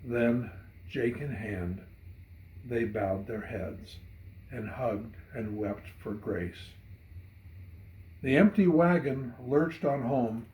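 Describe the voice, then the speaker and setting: A man reading a narrative poem dramatically, in measured and solemn storytelling. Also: medium pitch, darkish tone. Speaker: poet reading own poem. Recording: roomy echo.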